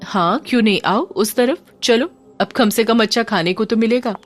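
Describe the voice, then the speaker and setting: A woman's voice: fairly high pitch, moderate pace, faint music behind, voice pushed up, natural voice. A woman voicing a friendly, curious young woman in an audio drama. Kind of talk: Hindi audio drama character voice.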